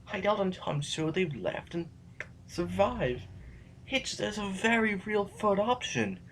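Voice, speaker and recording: A man's voice: high voice, a little slow, a little hiss or hum. A man acting as a reluctant, argumentative intellectual rebel in an audio drama, hesitant and wordy, anxious to survive. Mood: pleading, argumentative.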